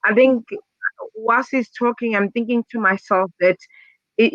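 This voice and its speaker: A woman's voice: medium pitch, dry recording. A woman speaking at an online youth summit, hesitant and full of fillers and repeats, over a video call. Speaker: summit participant. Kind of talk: casual online discussion. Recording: dull dry video-call audio.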